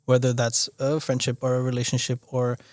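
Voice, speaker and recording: A man's voice: mid-range voice, monotone, a little fast, a little mellow, no room sound, studio quality. A young man from Austria, a podcast guest talking casually, often restarting his sentences and leaning on "you know". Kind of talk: casual conversational interview.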